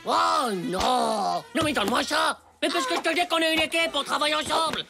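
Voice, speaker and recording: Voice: sits high, normal speed, gravelly, over loud music. An actor voicing a cheeky, exasperated ten-year-old schoolboy in a cartoon, whining and complaining in playground slang.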